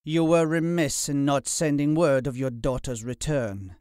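An arrogant lord from a historical audiobook, acted out by a male narrator. He sneers and spits his words with open contempt. The pitch sits well above a plain telling, in a dry, close recording. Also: fairly even intonation, measured pace, darkish tone, smooth, dry recording, lightly acted. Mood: haughty reproach. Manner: haughty, spitting, sneering. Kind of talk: audiobook character acting.

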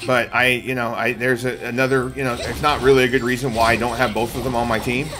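A middle-aged man giving casual gameplay commentary, thinking aloud in a gravelly voice. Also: mid-range voice, flat delivery, fast. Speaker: game streamer. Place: small indoor room at home. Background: faint game music.

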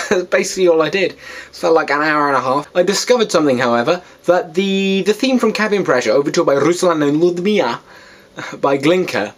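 A man's voice: fairly high pitch, lively intonation, fairly quick. A seventeen-year-old man from Wales rambling casually to a webcam in his bedroom, in a self-deprecating way. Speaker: vlogger. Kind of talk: casual rambling vlog to camera. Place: small bedroom, indoors.

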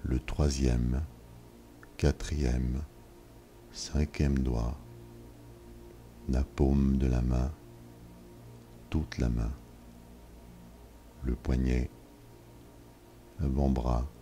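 A man leading a yoga nidra session, giving calm, measured instructions with long pauses. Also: very deep voice. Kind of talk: slow guided relaxation. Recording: soft music behind. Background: soft ambient music.

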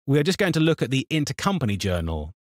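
A man narrating a scripted software tutorial in an instructional, step-by-step manner. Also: mid-range voice, very animated, fast talker, no echo, clean studio sound.

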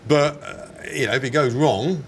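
English man, forties, hesitant conversational interviewee, mid-pitched, recorded in a bar with background noise. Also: moderate pace. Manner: hesitant, chatty, self-correcting. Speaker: snack company founder being interviewed. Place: indoors in a bar. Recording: clear background ambience noise.

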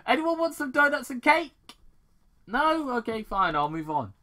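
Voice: high voice, big pitch swings, pushed and bright. A British amateur reader reading fan fiction aloud, stumbling over words and breaking off to ad-lib. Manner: halting, stumbling over words and correcting themselves. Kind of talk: amateur read-aloud with ad-libs.

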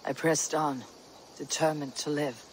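A woman's voice: deep voice, steady tone, a little slow, slight background noise, rough recording. A woman voicing a stoic, resolute female Viking warrior in a video game, grave, firm and plain-spoken. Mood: stoic consoling. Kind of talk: dramatic video game acting.

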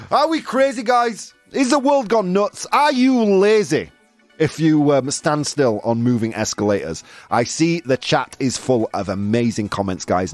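A man hosting a casual live talk show, jokey and incredulous as he reacts to listener comments. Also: a little high. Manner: animated, jokey, incredulous, punctuates talk with "dude".

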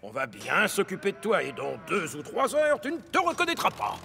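A man voicing an effusive, flamboyant steam engine who runs a repair workshop in a children's cartoon, with exclamatory, flattering delivery. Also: high-pitched, normal speed, vocal fry, heavily acted. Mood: welcoming, brisk. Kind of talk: dubbed children's cartoon acting. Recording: reverberant hall, music underneath.

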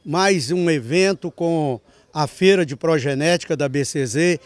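A man's voice: fairly high pitch, measured pace, no room sound. An older man, a rural union leader, formally speaking in an interview outdoors at a fair. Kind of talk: interview answer. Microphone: handheld mic.

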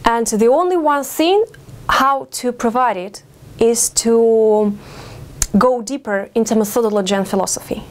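A young woman in her twenties from Ukraine with a Ukrainian accent, giving thoughtful interview answers as a doctoral exchange student and pausing often with fillers. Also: fairly high pitch. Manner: thoughtful, many fillers and pauses. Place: studio with campus backdrop.

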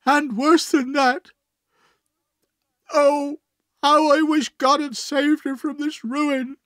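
A man's voice: extremely high pitch, level tone, slow, a bit bright, no room sound, pitched above the performer's own voice, full character voice. A man voicing an honest young working man in a storybook, anguished, pleading and in despair. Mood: sobbing, desperate.

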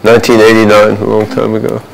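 A man's voice: on the low side, monotone, fast talker, creaky, background noise. A man in his forties giving informal remarks as lecturer in a large classroom, often repeating words as he talks.

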